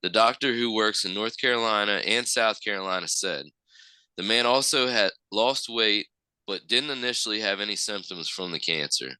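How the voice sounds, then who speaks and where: A man co-hosting a casual podcast, reading a news article aloud in an easygoing, halting way. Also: on the low side, pushed and bright, smooth, no echo, rough recording. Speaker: podcast co-host.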